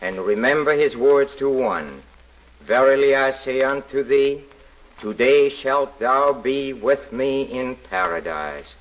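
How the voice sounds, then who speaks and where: An actor playing a cunning, self-satisfied criminal who poses as a saintly parson, with halting theatrical delivery in 1940s radio drama style. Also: male voice, medium pitch, lightly acted. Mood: pious, solemn. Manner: theatrical, halting, repeats words. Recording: dull 1940s radio recording with music.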